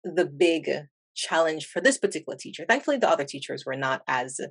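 A woman with a Jamaican accent, an English teacher asking questions in a thoughtful, measured conversational interview. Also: sits low, lively intonation, husky, no echo.

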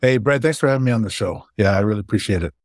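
A man in his forties from Hawaii, a startup founder chatting casually and warmly about his life as an interview guest. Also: lowish voice, animated, rapid-fire, no room sound, studio quality, faint music behind.

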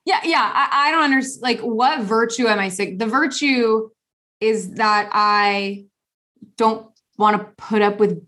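A woman co-hosting a casual podcast, sarcastic and playful, with animated emphasis. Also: on the high side, a little slow. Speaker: podcast co-host.

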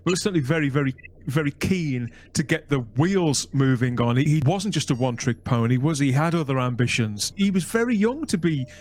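A man with a slightly high voice hosts a podcast interview. He talks in long, wordy sentences, doubling back on phrases as he frames questions for his guests. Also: expressive, brisk, a little mellow. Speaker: podcast host.

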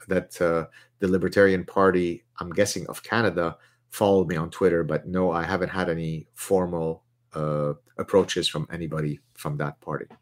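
Low-voiced man hosting live Q&A. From Lebanon. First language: Arabic. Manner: chatty, boastful, reads out chat questions. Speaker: host answering viewer questions. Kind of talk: live Q&A chat.

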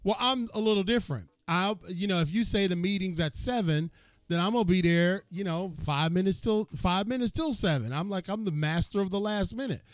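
A man's voice: high-pitched, a little fast, no room sound. A man hosting an interview, chatting in a folksy, joking manner. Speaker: host. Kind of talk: casual interview chat.

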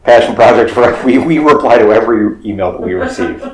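A man's voice: lowish voice, animated, fast. A man in his forties co-hosting a podcast, joking and rambling through casual chat with many restarts. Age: 40s. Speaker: podcast co-host. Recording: roomy echo with faint music.

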